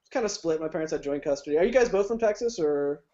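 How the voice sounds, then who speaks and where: High-voiced rambling man, lo-fi podcast. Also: animated, fast, warm tone. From Ohio. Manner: rambling, restarts often, laughs easily. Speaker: podcast guest. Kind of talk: casual chat. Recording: dull lo-fi podcast audio.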